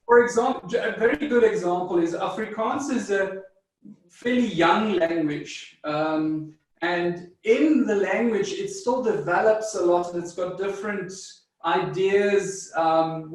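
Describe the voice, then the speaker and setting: A South African man whose mother tongue is German speaks slowly and thoughtfully, pausing on fillers as he works through his answers. His voice sits high, and it comes from far away in a strongly echoing room. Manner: measured, thoughtful, with frequent fillers and restarts. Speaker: guest psychologist. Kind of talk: interview answers. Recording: distant mic in a very echoey room.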